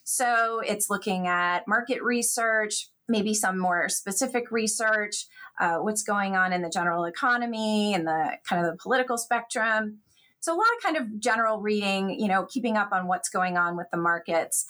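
A woman working in finance, speaking as an interview guest in a conversational, explanatory way with many softening qualifiers. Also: mid-range voice, normal speed.

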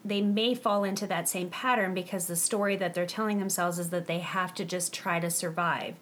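A woman's voice: lowish voice, steady tone, normal speed, slight background noise. A woman hosting an interview show, welcoming her guest and leading the talk with questions. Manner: warm welcoming, leads with questions. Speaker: host.

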